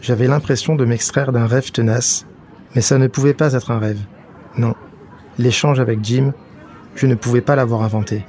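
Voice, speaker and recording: A man reading scripted first-person narration from a novel, at a middle pitch. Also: steady tone, normal speed, a little mellow. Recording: some background noise and ambience.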